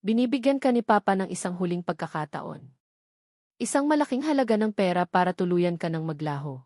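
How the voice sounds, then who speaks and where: A woman's voice: lowish voice, expressive, fairly quick, darkish tone, no echo, clean studio sound, natural voice. A woman voicing a smug, threatening young male heir in an audiobook drama. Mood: cold, serious. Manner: taunting, threatening. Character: menacing, smug young man, rich heir and rival brother. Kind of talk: audiobook character dialogue.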